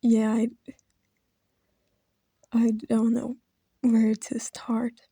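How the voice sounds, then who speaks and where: Woman close to tears, halting and whispery, dark husky voice, dry close sound. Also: a little high, monotone, no echo. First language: Brazilian Portuguese. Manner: halting, hesitant, drifting into soft whispers. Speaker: video creator. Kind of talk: emotional personal tribute.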